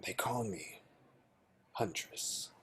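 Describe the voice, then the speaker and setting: A man in a table read playing a stern, awkward female vigilante assassin, husky and terse. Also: lowish voice, drawn-out words, a bit bright, heavily acted. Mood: menacing. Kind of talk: film script table read.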